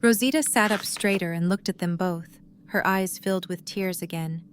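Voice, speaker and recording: A woman's voice: fairly low pitch, normal speed, slight background noise. A woman reading scripted story narration as the narrator of an audio drama.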